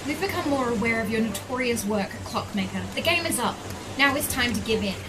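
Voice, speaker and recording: A woman plays an earnest, defiant heroine of an audio drama. Her voice is high and dramatic, with a pleading edge. Music runs clearly underneath. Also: lively intonation, fairly quick, lightly acted, low-quality recording.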